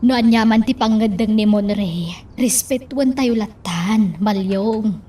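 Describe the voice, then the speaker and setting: A woman's voice: mid-range voice, takes it slowly, warm tone, recorded from a distance, a little hiss or hum, close to an everyday voice. A woman playing a stern mother. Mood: firm. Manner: firm, instructing. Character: stern mother figure, giving firm orders.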